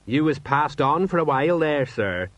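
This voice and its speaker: A man's voice: on the high side, expressive, moderate pace, no room sound, voice pushed up, lightly acted. A man voicing an eager, deferential male sergeant in a storybook, chatty and colloquial, easily puffed with pride. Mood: helpful. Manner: colloquial, helpful, fawning. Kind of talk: audiobook character voice.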